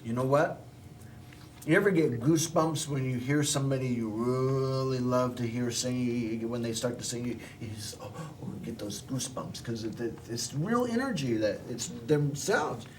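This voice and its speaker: A man's voice: mid-range voice, lively intonation, moderate pace, far from the mic, slight background noise, low-quality recording. A man hosting a small local TV show, telling a personal story in a rambling, casual way with many restarts. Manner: rambling, with frequent restarts and laughs.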